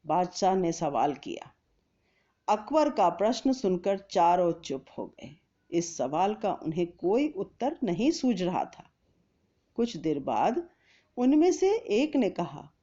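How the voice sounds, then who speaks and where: A woman narrating a scripted children's story in a deliberate, expressive storytelling manner. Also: fairly low pitch, muffled recording.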